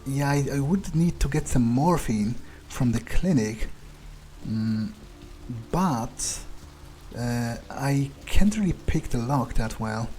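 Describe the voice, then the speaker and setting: A man's voice: medium pitch, lively intonation, takes it slowly, mellow, soft background music. A man playing a game in character as a vampire, thinking aloud in a hesitant, self-interested, sweary way. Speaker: video game player narrating in character as a vampire.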